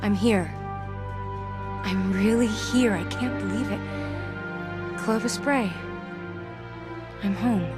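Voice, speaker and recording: A woman's voice: medium pitch, slow, long pauses, loud music behind the voice, natural voice. A woman playing an eager, determined scientist in a video game, informal and wry in delivery. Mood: awed. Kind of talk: scripted game voice acting.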